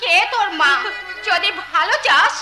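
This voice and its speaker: Very high-pitched, wailing matron. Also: female voice, expressive, measured pace, over loud music. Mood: indignant, tearful. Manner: wailing, declamatory. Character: protective, indignant mother-in-law, emotional family matron. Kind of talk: Bengali jatra folk-theatre melodrama.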